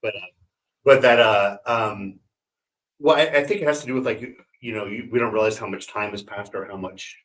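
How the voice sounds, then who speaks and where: A man, a voice actor, chatting casually with quick interjections and laughing agreement. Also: lowish voice, steady tone, fairly bright tone, rough recording. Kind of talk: casual chat.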